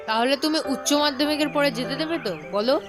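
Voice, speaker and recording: A woman's voice: high-pitched, brisk, over music, lightly acted. A woman playing a whiny, eager young schoolboy in an audio story drama. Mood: whining.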